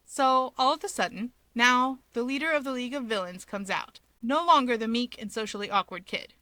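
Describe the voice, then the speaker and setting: Bright-voiced woman narrating a story. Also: fairly high pitch, brisk, dry recording. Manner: wry, conversational. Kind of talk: expressive narration of fan fiction.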